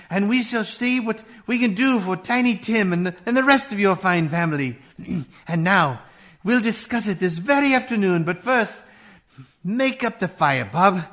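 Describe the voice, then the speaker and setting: An actor playing a reformed old miser giddy with kindness in a radio play, halting and exclamatory. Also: male voice, high voice, a bit bright. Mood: gleeful.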